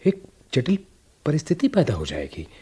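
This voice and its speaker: A man's voice: fairly high pitch, huge pitch swings, moderate pace, warm tone, breathy edge, exaggerated voice. A man acting an anxious, irritable, self-doubting husband in a radio drama, hesitant and brooding. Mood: irritated.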